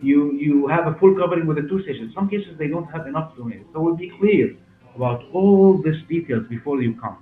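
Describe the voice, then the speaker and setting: A man in his forties with a Syrian Arabic accent, answering interview questions in halting, repetitive non-native English. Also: fairly high pitch. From Damascus, Syria. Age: 40s. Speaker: hair clinic director and interview guest. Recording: roomy, muffled, narrow sound with music behind.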